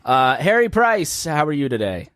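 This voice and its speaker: A man hosting a live-stream podcast, hoarse from laryngitis, rambling casually with plenty of fillers. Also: fairly high pitch, big pitch swings, normal speed, fairly bright tone, dry recording, studio quality. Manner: rambling, jokey, many fillers. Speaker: podcast host.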